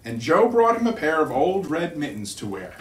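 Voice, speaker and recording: A middle-aged man reading a children's storybook aloud in an expressive, deliberate storytelling manner. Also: a little high. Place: living room by a fireplace, indoors. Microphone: distant camera. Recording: roomy living room, distant mic.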